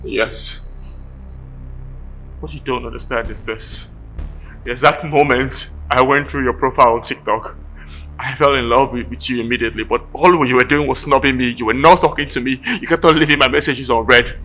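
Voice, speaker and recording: A palace driver, played by a man, defensive and indignant as he pushes back. His voice sits at a middle pitch. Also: steady tone, normal speed, bright, forceful tone, faint music behind, rough recording. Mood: explaining.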